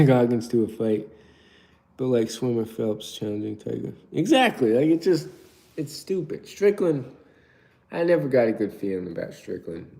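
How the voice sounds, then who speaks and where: A man's voice: mid-range voice, creaky, dark tone. A young man from Turkey chatting casually on a live stream, reading out viewer questions and rambling through his answers.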